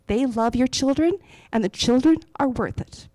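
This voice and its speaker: A woman's voice: sits high, normal speed, darkish tone, no room sound, poor audio. A woman speaking earnestly and passionately on a panel about schools and teachers. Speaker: panelist, education advocate. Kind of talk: panel discussion.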